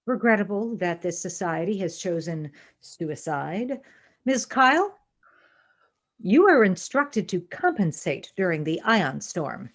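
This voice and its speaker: A woman's voice: lowish voice, very animated, slow, exaggerated voice. A woman playing a coldly logical female first officer in a sci-fi fan audio drama, with clipped, formal, commanding delivery. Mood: cold, stern.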